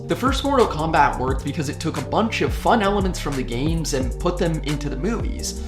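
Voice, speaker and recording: A host narrating a scripted video essay in a casual, conversational way. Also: medium pitch. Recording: music under the voice. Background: background music.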